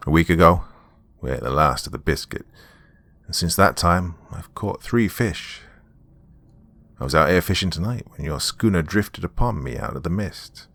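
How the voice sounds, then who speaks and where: A man playing a starving castaway in a gothic horror story, mournful and grateful, his phrases fading into a ghostly hush. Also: low-pitched, expressive, normal speed, gravelly, no echo, exaggerated voice. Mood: mournful, weary.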